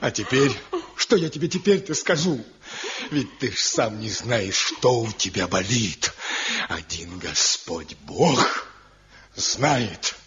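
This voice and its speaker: A man's voice: medium pitch, lively intonation, slow pace, a bit bright. An actor playing a worried, devout old father, loving and folksy, pleading emotionally in a Soviet radio drama. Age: older. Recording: dull, faintly noisy archival radio recording.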